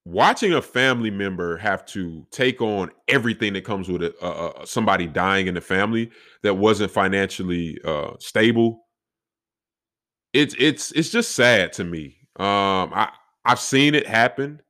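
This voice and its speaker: Deep-voiced man hosting a solo podcast. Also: moderate pace, sharp tone. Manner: reflective, faith-filled, conversational. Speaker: podcast host.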